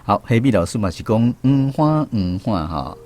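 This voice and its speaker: A man with a Taiwanese accent presents a language lesson on the radio. His voice sits fairly low, and he explains things in measured phrases over soft music. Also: sing-song, dry recording. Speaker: radio show host. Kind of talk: hosting a Taiwanese Hokkien lesson.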